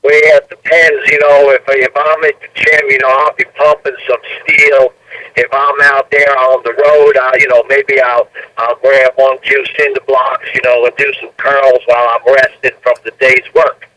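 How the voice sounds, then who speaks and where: A man's voice: mid-range voice, little pitch movement, moderate pace, bright, forceful tone. A man from Texas calling in over the phone, joking and playful in a drawling way. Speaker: caller. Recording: phone line.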